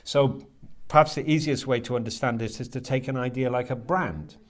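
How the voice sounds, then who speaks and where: A man answering interview questions as a writer and lecturer, thinking aloud haltingly and often repeating words as he works out his ideas. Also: medium pitch. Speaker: guest, creative writing lecturer and writer.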